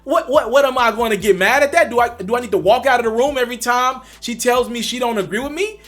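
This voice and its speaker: High, bright-voiced young man ranting. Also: fast talker. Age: young adult. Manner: emphatic, addresses viewers directly, repeats for stress. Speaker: commentary channel host. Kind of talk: direct-to-camera commentary.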